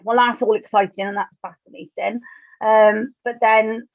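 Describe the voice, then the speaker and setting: A woman's voice: on the high side, steady tone, normal speed, vocal fry, dry recording. Welsh woman, thirties, chatty and self-deprecating, dry close sound, slightly dull call audio. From Wales. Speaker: webinar speaker. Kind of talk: personal talk at an online event.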